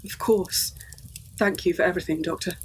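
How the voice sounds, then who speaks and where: A woman's voice: fairly low pitch, fairly even intonation, moderate pace, slight background noise, lightly acted, low-quality recording. A woman playing an anxious, well-bred young Edwardian daughter, polite and earnest, in a gothic audio drama.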